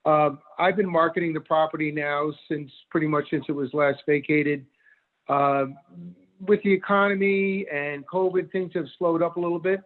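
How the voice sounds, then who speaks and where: A man in his sixties from Connecticut, a commercial broker testifying at a remote public hearing, speaking haltingly with many fillers. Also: on the high side, steady tone. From Norwalk, Connecticut. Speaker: commercial real estate broker. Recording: tinny phone-line audio.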